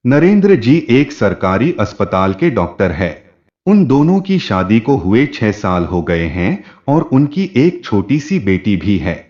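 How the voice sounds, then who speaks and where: A man's voice: lowish voice, big pitch swings, slow pace, a little mellow. A man narrating a scripted story as a storyteller. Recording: dull, muffled lo-fi audio.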